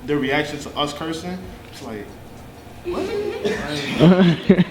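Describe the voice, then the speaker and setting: Young rapper, lively pitch, echoey room with crowd. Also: male voice, slow pace. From Miami. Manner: lively, laughing storyteller. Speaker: rapper in a group. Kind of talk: casual group interview.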